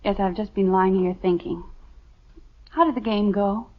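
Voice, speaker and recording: An actress playing a sleepy, gently probing suburban wife in a 1950s radio sitcom. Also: female voice, mid-range voice, steady tone, moderate pace, lightly acted. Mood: wondering, gentle. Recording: muffled vintage radio recording.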